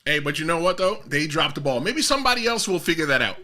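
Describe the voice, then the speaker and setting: A man with a Jamaican American and New York accent hosting a casual interview, energetic and teasing, pushing his guest to speak up. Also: fairly high pitch, quick pace. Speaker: podcast host.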